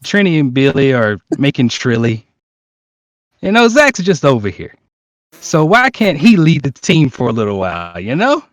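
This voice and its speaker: A man chatting casually as a guest, animated and jokey in the way he talks. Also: mid-range voice, moderate pace, no room sound. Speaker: cast member, guest. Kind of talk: casual discussion. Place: remote online voice call.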